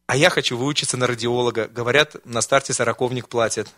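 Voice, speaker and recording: A man's voice: mid-range voice, fairly even intonation, quick pace, fairly bright tone, lightly acted. A man voicing a smug, streetwise young immigrant student who brags about his plans. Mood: boastful. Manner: self-satisfied, streetwise.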